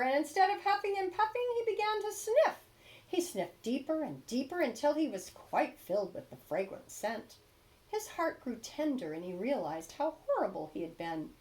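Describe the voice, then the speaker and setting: A woman reading a children's storybook aloud expressively, with emphatic stresses and dramatic pauses. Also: high-pitched, big pitch swings, a little mellow, low-quality recording. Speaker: storybook reader.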